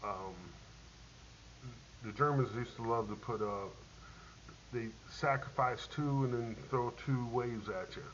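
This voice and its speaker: A middle-aged man, a military veteran, telling a rambling personal war story, halting with many ums and restarts. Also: mid-range voice. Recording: dull lo-fi audio with faint hiss.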